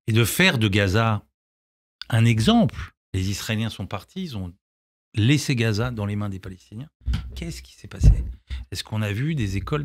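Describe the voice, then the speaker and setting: A man's voice: fairly low pitch, breathy edge, no echo, studio quality. A middle-aged man, a film director and actor, answering radio interview questions, often hesitant with restarts before pressing his point firmly. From Israel, grew up in France. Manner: hesitant, many restarts, then emphatic. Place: radio studio.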